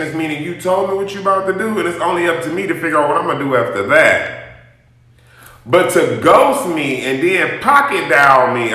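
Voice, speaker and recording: A man's voice: fairly high pitch, sharp tone. A man telling a personal story to an audience in a casual, blunt, emphatic rant full of swearing. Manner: emphatic, blunt, profanity-laden, addresses listeners directly. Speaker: storytime host addressing an audience. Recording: very echoey room.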